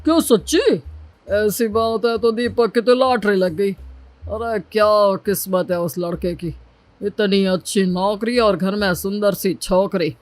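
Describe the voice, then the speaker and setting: A woman voices an envious colleague in an animated tale. The delivery is gossipy and exclaiming, medium in pitch, with light music behind. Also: unhurried, dry recording, close to an everyday voice. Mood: amazed. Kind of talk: Hindi cartoon story voice acting.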